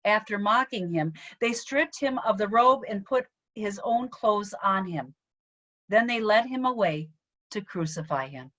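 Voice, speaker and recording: Woman pastor reading the gospel over video call, normal pitch. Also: animated, a little slow, a bit bright. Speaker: Lutheran pastor. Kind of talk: scripture reading.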